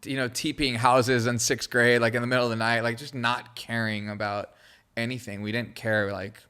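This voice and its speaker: A man's voice: medium pitch, level tone, normal speed, pushed and bright, clean tone. A man whose first language is Hebrew, telling stories casually and in a rambling, slangy way as a podcast guest. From born in Israel, grew up in California. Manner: heavy slangy fillers, restarts mid-thought. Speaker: podcast guest, creative director. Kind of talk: casual, rambling conversational storytelling.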